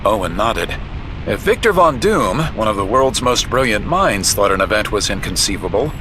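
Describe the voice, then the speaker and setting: A man's voice: fairly low pitch, very animated, normal speed, background noise. A man giving dramatic scripted narration of a story as its narrator.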